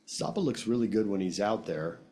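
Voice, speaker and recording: A man's voice: fairly low pitch, brisk, warm tone. An American man hosting sports radio, giving commentary on games and putting questions to a coach.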